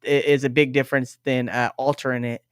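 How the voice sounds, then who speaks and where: A man in his thirties with a high-pitched voice, chatting in an animated way with lots of fillers. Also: brisk, clean tone, no room sound, studio quality. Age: 30s. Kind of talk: casual chat.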